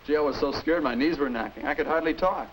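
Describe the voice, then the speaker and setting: Through light hiss on a 1950s film track, a man plays an easygoing American schoolboy. He speaks quickly and casually, in a friendly, breezy way. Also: fairly high pitch, lightly acted, rough recording. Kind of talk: 1950s educational film acting. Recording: hissy 1950s film soundtrack.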